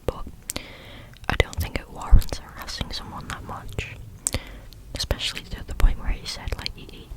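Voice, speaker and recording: A person speaking entirely in a breathy, unvoiced whisper, reading stories aloud in a casual, conversational ASMR style. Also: rough recording. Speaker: host reading listeners' or online stories aloud.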